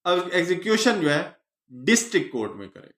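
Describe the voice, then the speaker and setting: A man with a Pakistani accent giving an explanatory law lecture, teaching phrase by phrase with pauses. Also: high-pitched, sing-song, a bit bright. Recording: echoey room.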